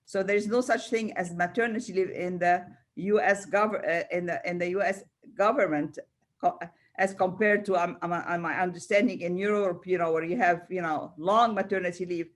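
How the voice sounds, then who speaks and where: A woman's voice: on the low side, fairly even intonation, moderate pace. An older woman from Egypt speaking spontaneously as a round table panellist, often repeating words and restarting. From Cairo, Egypt. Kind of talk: panel discussion, spontaneous speech.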